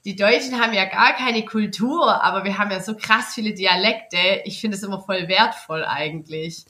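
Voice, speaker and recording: A woman's voice: medium pitch, normal speed, sharp tone. A woman in her late twenties with a Swabian accent, a guest chatting on a podcast, bubbly and enthusiastic, her voice stuffy from a cold. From near Nürtingen, Swabia. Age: 20s. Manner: bubbly, enthusiastic, laughs a lot. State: stuffy nose, worn voice. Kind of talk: casual chat.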